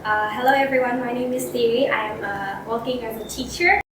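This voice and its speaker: A woman's voice: on the high side, fairly quick. A woman who works as a teacher, giving short, hesitant answers in class. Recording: echoey room, clear background noise.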